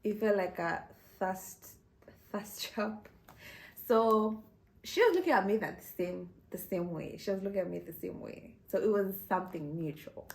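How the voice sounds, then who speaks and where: A woman's voice: mid-range voice, a little slow, gravelly. A young woman from Kenya, telling a personal story to camera in a chatty way, often laughing and saying "you know". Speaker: vlogger.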